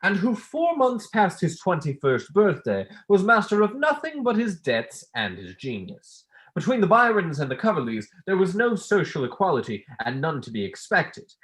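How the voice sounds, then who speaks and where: A student actor playing a pompous, easily wounded academic, declaiming in a staged play reading. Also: male voice, high voice, huge pitch swings, moderate pace, few pauses, a bit bright, exaggerated voice. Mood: declamatory, self-important. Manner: declamatory, oratorical.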